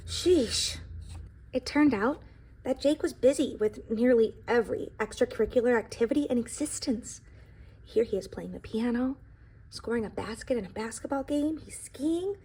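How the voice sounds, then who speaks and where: Female teacher for children's read-aloud: fairly high voice, husky edge, expressive, instructive delivery. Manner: expressive, instructive, addresses young listeners directly. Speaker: teacher reading aloud to students. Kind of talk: picture book read-aloud for young children with explanatory asides.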